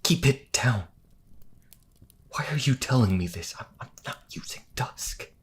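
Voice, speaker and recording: An actor playing an earnest young priest healer in a fantasy audio drama, anguished and determined, with a hesitant, stammering delivery. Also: male voice, mid-range voice, animated, unhurried, in a whisper, close to an everyday voice. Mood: alarmed. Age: young adult.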